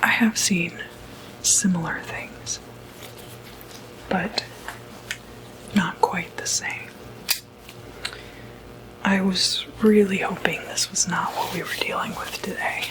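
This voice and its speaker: A woman playing a calm, quietly puzzled doctor in an ASMR medical roleplay, whispering breathily and hesitantly. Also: medium pitch, close to an everyday voice, poor audio. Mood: uneasy, concerned. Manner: hesitant, halting, murmured asides. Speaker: ASMR performer.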